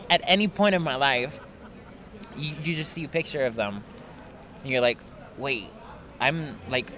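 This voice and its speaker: A man's voice: mid-range voice, pushed and bright. Frank young man, guest on a casual podcast, laughs a lot, outdoors. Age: 20s. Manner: frank, laughs often, swears. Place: outdoors in a park.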